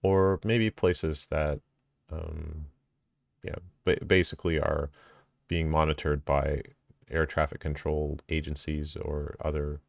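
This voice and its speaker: A man with a very low, gravelly voice walks through rules in a relaxed explainer. He thinks aloud, hedging and correcting himself as he goes. The recording is close and dry, with no room sound. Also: slow pace, dry recording. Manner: thinking aloud, many ums and self-corrections. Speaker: drone hobbyist explaining rules. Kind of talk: casual explainer video.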